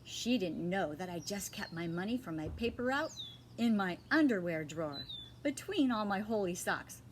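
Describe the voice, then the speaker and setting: A woman reading a children's chapter book aloud like a teacher, with expressive, emphatic phrasing. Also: mid-range voice, normal speed, a little hiss or hum.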